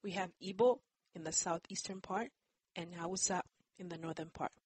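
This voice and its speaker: A woman's voice: fairly low pitch, normal speed, darkish tone, slightly rough, dry recording. A woman from Nigeria whose first language is Yoruba, answering interview questions in a careful, explanatory way and often hedging with "I believe". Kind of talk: conversational interview.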